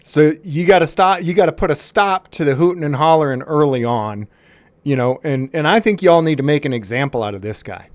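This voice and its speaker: A man's voice: a little high, dry recording. Mock-serious man with a dry close sound. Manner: earnest faux-sincere tone with halting restarts. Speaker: prank caller to a police department. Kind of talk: mock-serious phone prank.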